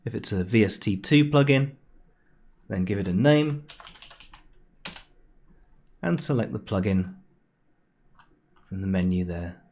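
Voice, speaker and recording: Guy with a fairly low voice doing a chatty screencast how-to, dry understated bits. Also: animated, unhurried, pauses often, darkish tone, slight background noise. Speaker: tutorial host. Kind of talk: screencast software tutorial, informal. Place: home room, voice-over recorded near computer.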